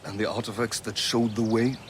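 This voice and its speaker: Guy playing a serious old-fashioned mentor type in a game, deliberate, on the deep side, slight background noise. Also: little pitch movement, close to an everyday voice. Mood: concerned, grave. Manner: grave, formal, deliberate. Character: stern, practical male mentor of assassins. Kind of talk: dramatic video game acting.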